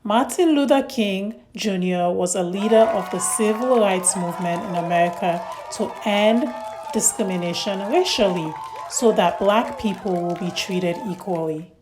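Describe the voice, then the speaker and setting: A woman reads a story aloud for small children, speaking at a middle pitch in simple, deliberate phrases. She addresses young listeners directly as host and narrator. There is some noise in the recording. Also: a crowd in the background.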